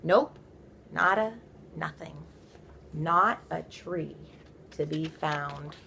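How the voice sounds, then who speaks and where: A woman reading a children's story aloud, with playful, theatrical emphasis and drawn-out words. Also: low voice, creaky, bright, forceful tone, slight background noise. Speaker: storybook reader. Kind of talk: animated children's read-aloud.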